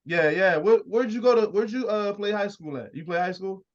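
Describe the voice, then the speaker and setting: A man with a slightly high voice hosts a casual interview. His talk is informal and full of slang, with some swearing. The sound is muffled and lo-fi, like a weak internet video call. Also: normal speed. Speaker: interview show host. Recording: muffled low-quality internet call audio.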